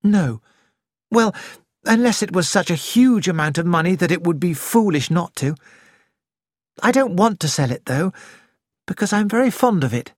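A reader voicing a frightened young woman who keeps up a brittle, brave front, speaking quickly and shakily. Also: medium pitch, expressive, dry recording, studio quality, pitched above the performer's own voice, lightly acted.

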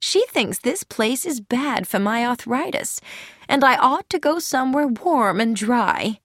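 A woman lifts her voice into a sweet, untroubled elderly lady with a soft and musical way of speaking. She sounds higher than the narration and lilts freely up and down. The recording is close and dry. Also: expressive, measured pace, no room sound, exaggerated voice. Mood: gentle. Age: older. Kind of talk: audiobook character voice.

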